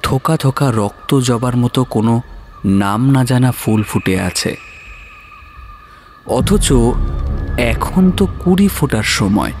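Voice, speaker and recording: A male narrator tells a horror story in a slow, measured delivery. His mid-pitched voice is dramatic and suspenseful, set over faint music. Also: expressive, a little mellow.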